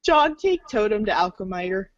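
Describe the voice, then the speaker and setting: A woman's voice: mid-range voice, very animated, normal speed, no room sound. A woman narrating a read-along storybook in a fan readers' theater. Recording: dull lo-fi audio.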